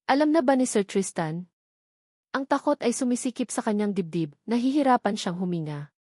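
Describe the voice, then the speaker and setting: A woman's voice: medium pitch, darkish tone, no room sound, studio quality. Woman narrating a dramatic love story. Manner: dramatic, suspenseful.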